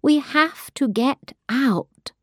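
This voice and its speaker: A woman's voice: high-pitched, drawn-out words, no room sound, clean studio sound, pitched above the performer's own voice. A woman voicing an urgent young daughter begging her mother to flee. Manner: pleading, urgent.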